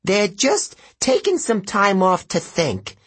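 A man's voice: sits high, wildly swooping pitch, takes it slowly, fairly bright tone, breathy edge, dry recording, voice pushed up, heavily acted. A man voicing a fussy, cowardly, very old and fat male satyr, whiny and indignant.

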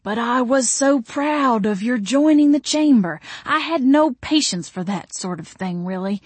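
A woman voicing a courtly, amiable elderly male ghost in a slow, dry, genteel manner for an audiobook. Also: fairly high pitch, lively intonation, darkish tone, husky, no room sound, voice pushed up. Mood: gently teasing.

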